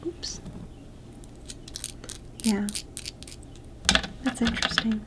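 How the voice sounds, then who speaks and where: A woman doing a soft-spoken ASMR roleplay, mostly whispering and talking gently. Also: a little high, fairly even intonation, extremely slow, very noisy. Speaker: ASMR creator. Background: tapping and clicking sounds.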